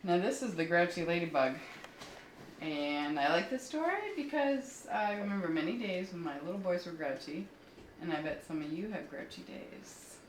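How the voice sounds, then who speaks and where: A woman with a fairly low voice tells children's stories aloud. She reads expressively and pauses to explain things to young listeners. The recording is roomy, with faint background noise. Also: animated. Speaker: children's story reader. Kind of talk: storytelling read aloud for children, with asides.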